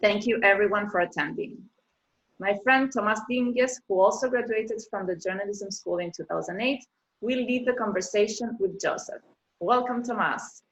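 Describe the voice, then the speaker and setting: A woman opens an online event with prepared welcome remarks. Her mid-pitched voice stays measured and orderly as she introduces the guest and the moderator. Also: poor audio. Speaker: event host.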